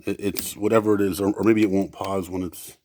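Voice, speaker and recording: A man giving a casual, unscripted equipment demonstration as a seller, speaking in a halting way as he thinks aloud. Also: sits low, flat delivery, mellow.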